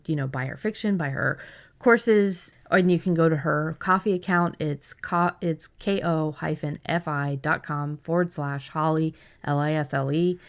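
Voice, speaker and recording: A woman in her thirties co-hosting a podcast in casual chat, effusive and emphatic, often repeating for stress. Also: deep voice. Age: 30s. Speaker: podcast co-host.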